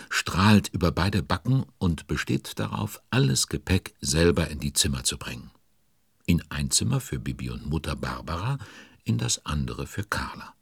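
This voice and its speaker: A man narrating a children's audio story as a genial, chatty storyteller with a husky voice. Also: rumbling low, no room sound, studio quality, heavily acted. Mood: amused. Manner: chatty, sympathetic, commenting. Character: genial, involved male storyteller.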